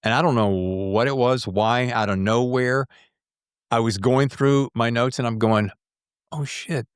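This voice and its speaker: A man's voice: medium pitch, a little slow, clean tone, no room sound, clean studio sound. A man hosting a casual podcast interview, rambling chattily and acting out his own thoughts as he talks. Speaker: podcast host.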